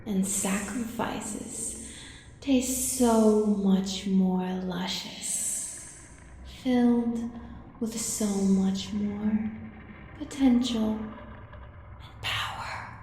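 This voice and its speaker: An ancient soul-eating dark elven fiend, acted by a woman, cruel and gloating. She speaks extremely slowly in a mellow tone, drawing out each phrase hungrily. Her voice rings through a huge reverberant space. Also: medium pitch, steady tone. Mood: contemptuous, savoring. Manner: slow, savoring, deliberate delivery with long pauses. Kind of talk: monster audio roleplay monologue. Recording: cavernous echo and long reverb, faint noise.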